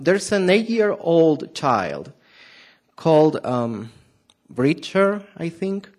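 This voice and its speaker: Argentine guy preaching to a church, strong spanish accent, slow and halting, lots of ums, asks the crowd stuff. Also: on the high side. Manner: hesitant, many fillers and restarts, asks the audience questions. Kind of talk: sermon to a congregation.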